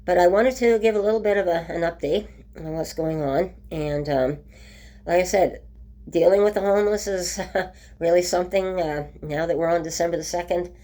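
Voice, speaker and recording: A woman talking casually in a home video update, her voice rough from a cold, full of ums and restarts. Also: fairly low pitch, moderate pace, mellow. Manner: chatty, many ums and restarts. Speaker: vlogger. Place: at home by a window.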